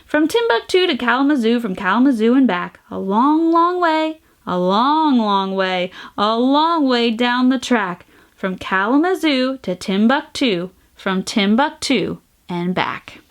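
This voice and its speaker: High, very lively woman reading to children. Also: unhurried. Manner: sing-song, exaggerated emphasis, playful noises. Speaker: storytime host reading a picture book aloud. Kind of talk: animated children's read-aloud with sound effects.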